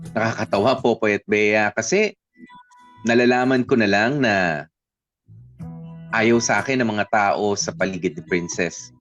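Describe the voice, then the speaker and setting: A man's voice: medium pitch, natural voice. A man playing an insecure, lovesick young man who confidingly narrates his own story in a Taglish radio drama. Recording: dull, muffled audio under music.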